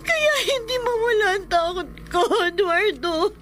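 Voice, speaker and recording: An actress playing a terrified young pregnant wife in a horror radio drama, tearful and trembling with gasping breaths. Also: female voice, extremely high pitch, animated, normal speed, a bit bright. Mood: tearful dread.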